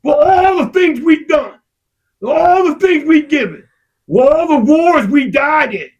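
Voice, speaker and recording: A man in heated casual discussion, impassioned and profane, his voice rising to shouts. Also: very high voice, expressive, takes it slowly, highs cut off. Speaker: podcast co-host.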